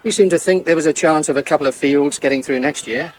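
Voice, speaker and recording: An actor playing a wry, harried farmer with a British accent in a 1980s radio soap. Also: male voice, a little high, fast talker, lightly acted. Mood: wry, weary. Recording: faintly noisy old radio broadcast.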